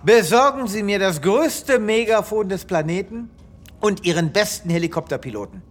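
An actor playing a flippant, self-assured veterinarian with a casual, dismissive manner in a comic sci-fi radio play. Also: male voice, high voice, lively intonation, moderate pace. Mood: commanding. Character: flippant, self-assured male veterinarian who knows dogs.

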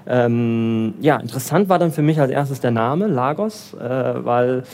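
A German man, probably in his thirties, answering interview questions in a relaxed, hesitant way with many fillers and restarts. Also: medium pitch, measured pace. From Germany, raised in Bavaria. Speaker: interviewee, development finance professional in Lagos.

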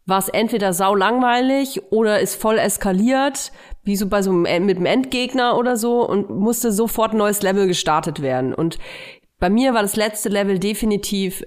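Self-mocking woman in her early thirties. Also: medium pitch. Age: early 30s. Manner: self-mocking, animated, laughs at herself. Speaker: podcast co-host. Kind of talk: casual podcast chat.

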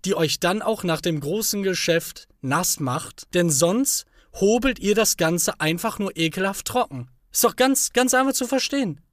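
Frotzelnder Mann mit hoher Stimme. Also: male voice, high-pitched, lively intonation, measured pace, hardly any pauses, no room sound, studio quality. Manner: teasing, crude jokes, insistent. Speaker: podcast co-host. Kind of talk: casual podcast banter.

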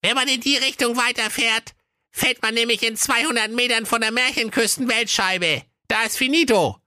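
A man's voice: very high-pitched, normal speed, bright, forceful tone, clean tone, dry recording, studio quality, pitched above the performer's own voice, exaggerated voice. A man voicing a grumpy, sly evil little male dwarf in a comic fairy tale, grumbling and scheming.

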